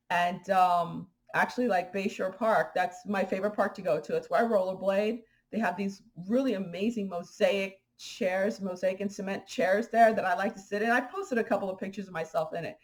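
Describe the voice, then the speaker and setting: Woman from haiti vlogging, chatty and fired up, lots of um and like, mid pitch. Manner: animated, indignant, fillers and restarts. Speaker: spiritual vlogger. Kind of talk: casual spoken commentary to viewers.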